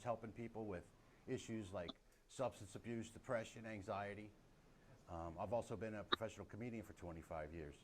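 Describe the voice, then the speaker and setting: A man's voice: fairly low pitch, a little hiss or hum. An older man hosting a comedy talk show over a video call, giving upbeat, emphatic introductions.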